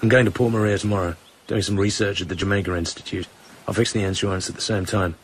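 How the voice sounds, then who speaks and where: An actor playing a cool, suave British secret agent in a radio drama, understated and brooding. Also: male voice, on the low side, fairly even intonation, fast, a little hiss or hum, natural voice. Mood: businesslike.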